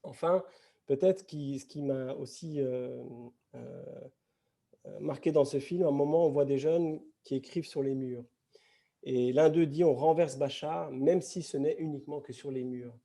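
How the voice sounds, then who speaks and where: A man speaking at a post-screening film discussion, reflective and halting, with many restarts. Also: mid-range voice, creaky, mellow. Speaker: festival panelist.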